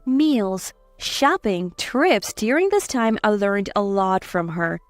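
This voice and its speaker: A woman's voice: medium pitch, expressive, slow, dry recording, soft background music. A woman reading scripted dramatic story narration.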